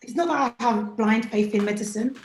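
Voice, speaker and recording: A woman's voice: a little high, a little fast, reverberant, slight background noise. A woman as an interview guest, talking candidly and animatedly, sometimes acting out what other people said to her. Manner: chatty, animated, acts out quoted people.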